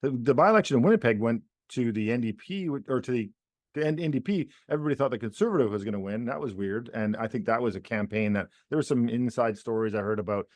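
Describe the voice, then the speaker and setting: A man's voice: medium pitch, expressive, fast, no echo. A man co-hosting a casual political chat podcast, rambling with many restarts and repeated words. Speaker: podcast co-host.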